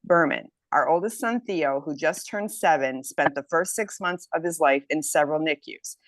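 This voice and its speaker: Woman, 50s, low voice, dry close sound; casual, eager testimonial. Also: normal speed, no room sound, rough recording. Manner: enthusiastic, reads quotes aloud. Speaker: health program member. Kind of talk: casual group chat testimonial.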